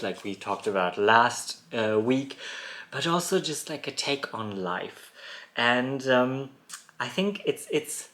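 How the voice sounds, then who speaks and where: A man, born in Poland, hosting a reflective solo podcast in a thoughtful, musing way with frequent soft laughs. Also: fairly low pitch. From born in Poland, raised in Germany. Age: 30s. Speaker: podcast host. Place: rural home in France.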